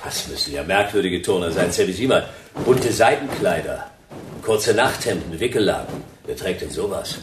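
An actor playing a dry, hardboiled private detective, laconic and deadpan, in a noir radio play. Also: male voice, deep voice, normal speed, noisy background. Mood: puzzled, wry. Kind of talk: first-person noir radio play narration and dialogue.

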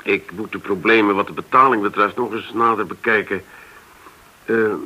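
A man's voice: on the low side, steady tone, normal speed, bright, forceful tone. A man acting in a radio play as a guarded, polite businessman who hesitates and asks probing questions. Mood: polite, cautious.

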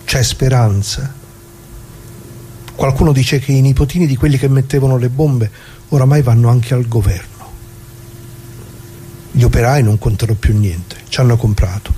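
An actor playing a dead retired working-class man, bitter and plain-spoken, addressing listeners in a halting, repetitive theatrical radio monologue. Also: mid-range voice, warm tone, slight background noise, close to an everyday voice. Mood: urgent, questioning. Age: older.

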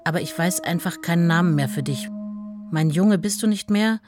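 Actress as a low-voiced, resolute estranged wife. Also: female voice, moderate pace, music in the background, natural voice. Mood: wounded, bitter. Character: deeply hurt, resolute estranged wife writing to her husband. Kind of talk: radio drama letter reading.

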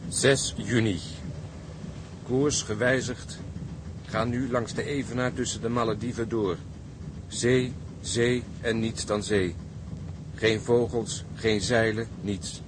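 A man's voice: mid-range voice, fairly even intonation, slow, pauses often, close to an everyday voice. An actor playing a sober seaman who narrates his grim voyage diary in an audio drama. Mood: grave, brooding. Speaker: narrator and ship's officer keeping a diary. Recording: clearly noisy audio track.

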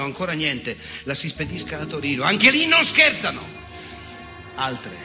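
An actor playing an unrepentant, nostalgic fascist veteran in a theatrical monologue, cruel and self-righteous, with sardonic rhetorical questions and weighted pauses. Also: male voice, mid-range voice, close to an everyday voice. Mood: cruel, mocking.

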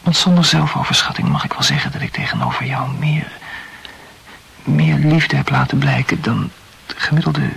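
Casting: wordy, rationalizing lover for radio drama, very husky and breathy, self-absorbed philosopher. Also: male voice, medium pitch, sing-song, moderate pace, fairly bright tone, exaggerated voice. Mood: defensive. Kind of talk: intimate radio play dialogue.

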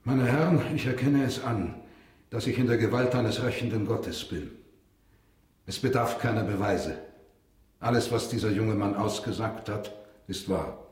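An actor playing a proud middle-aged royal prosecutor broken by guilt and horror in a radio drama, agitated and husky. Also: male voice, on the low side, normal speed, darkish tone. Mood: resigned confession. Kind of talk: German radio drama acting.

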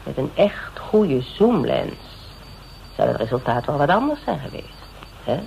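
A woman's voice: a bass voice, sing-song, a little slow, vocal fry, dark tone, full character voice. An actress playing a shrewd, mocking female interrogator in a radio drama, with a needling, conversational manner. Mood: narrating, needling. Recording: noisy radio drama recording.

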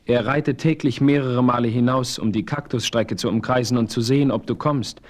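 An actor playing a noble Apache chief with ceremonial, dignified speech in a western audio drama. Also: male voice, medium pitch, fairly even intonation, a little fast, lightly acted. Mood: dignified welcome. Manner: ceremonial, stately, flowery formal speech. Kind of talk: 1970s German western audio drama acting.

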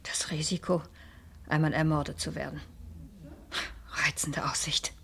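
An actress playing a curious, composed madame in a radio crime drama, speaking in a reflective, wry way. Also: female voice, low-pitched, level tone, measured pace, creaky, a bit bright, exaggerated voice. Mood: wry, uneasy. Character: curious, composed madame narrating her own dangerous case. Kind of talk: German radio crime drama acting and narration.

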